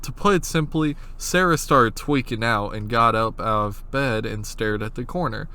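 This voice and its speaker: A man's voice: medium pitch, moderate pace, pushed and bright. Man narrating casual, explanatory horror commentary. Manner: informal, explanatory. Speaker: video essayist, horror series analyst.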